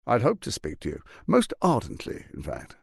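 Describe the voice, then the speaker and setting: Pompous scheming tyrant with wildly swooping delivery. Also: male voice, fairly low pitch, huge pitch swings, moderate pace, creaky, a little mellow, breathy edge, dry recording, studio quality, exaggerated voice. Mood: smooth, insinuating. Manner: pompous, theatrical, articulate, condescending. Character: scheming, silver-tongued tyrant archduke, grandiose and menacing. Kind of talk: theatrical video game voice acting.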